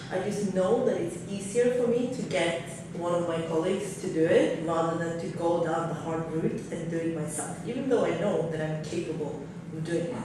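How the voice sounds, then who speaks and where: A woman from Norway speaking on a seminar panel, candid and thinking aloud with fillers, in a large hotel conference room. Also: fairly low pitch. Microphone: table mic heard from far off. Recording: very echoey room, far from the mic, noisy.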